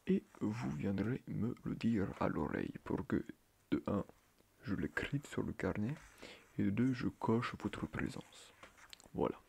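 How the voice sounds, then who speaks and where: A man playing a fussy, flustered schoolteacher in a soft-spoken ASMR roleplay, hesitant, breathy and stiffly formal, often muttering near a whisper. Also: lowish voice, expressive, unhurried, heavily acted. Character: fussy, flustered male schoolteacher on first day.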